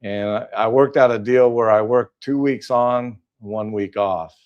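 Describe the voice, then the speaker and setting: A man's voice: medium pitch, unhurried, clean tone, highs cut off. An older man from Southern California, a guest in a casual interview, talking with many fillers and restarts.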